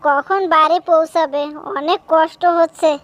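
A woman's voice: very high-pitched, steady tone, moderate pace, no room sound. A woman storyteller reading scripted narration for a children's cartoon tale.

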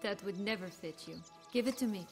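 Game casting: actress as commanding noblewoman, thirties, mid-pitched voice, playful and imperious, articulate, over a score. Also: a little fast, darkish tone, lightly acted. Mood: arch, teasing. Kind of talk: video game cutscene acting. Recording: music under the voice.

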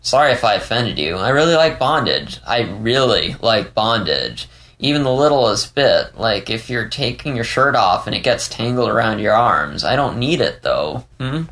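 A man's voice: mid-range voice, lively intonation, normal speed, few pauses, a bit bright, close to an everyday voice. A man voicing an eager, earnest and curious male dog character in an audiobook story. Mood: sheepish, curious.

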